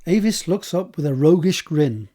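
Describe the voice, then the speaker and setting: A man's voice: fairly high pitch, animated, normal speed, warm tone. A man reading scripted stage directions as the narrator of an audio drama.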